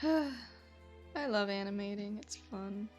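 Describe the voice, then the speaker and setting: A woman's voice: mid-range voice, takes it slowly, soft background music. A woman streaming while she animates, chatting in an easygoing, giggly way and often trailing off.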